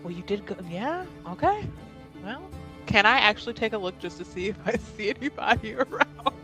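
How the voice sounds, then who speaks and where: A woman's voice: medium pitch, expressive, normal speed, bright, forceful tone, soft background music. A woman chatting casually and informally as a player in a tabletop roleplaying game, with a giggly manner. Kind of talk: casual game chat with friends.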